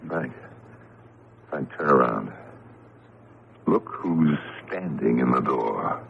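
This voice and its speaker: A man's voice: very deep voice, animated, slow, creaky, heavily acted. A man acting in a vintage radio drama as an earnest, insistent college professor troubled by strange events. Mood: uneasy, insistent. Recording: noisy, muffled old radio broadcast.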